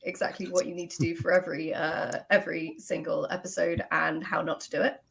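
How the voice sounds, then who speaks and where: A woman's voice: fairly low pitch, level tone, a little fast, creaky. A woman writer introducing herself casually as a guest on a panel. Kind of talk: casual chat, self-introduction.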